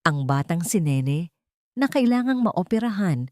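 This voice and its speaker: A woman's voice: lowish voice, big pitch swings, unhurried, darkish tone, dry recording, studio quality. A woman narrator reading a scripted story in a dramatic storytelling style.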